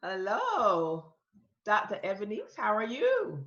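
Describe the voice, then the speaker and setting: A woman's voice: mid-range voice, huge pitch swings, slow pace. Woman interview host on a video call, affirming, lots of "yes" and "awesome"; muffled, echoey audio. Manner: warm-up chatter, affirming with short interjections. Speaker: podcast host, author.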